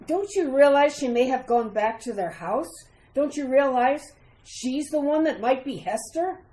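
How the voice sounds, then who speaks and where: A woman's voice: high voice, lively intonation, a little slow, mellow. A woman playing a serious, insistent doctor in an old-time radio drama, with an urgent, declamatory delivery. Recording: echoey room with faint noise.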